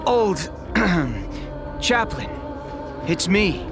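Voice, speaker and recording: A meek young male apprentice priest from a video game, deferential and devoted. He speaks haltingly and rather slowly, with a lively melody, over clear background music. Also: medium pitch, exaggerated voice. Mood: dutiful.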